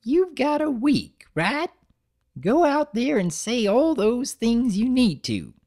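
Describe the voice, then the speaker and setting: A storybook granny pony, old, bossy and loving, voiced by a man. The voice sits high and swings up and down as she scolds in a folksy way. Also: very animated, slow, darkish tone, full character voice. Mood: bossy, urging.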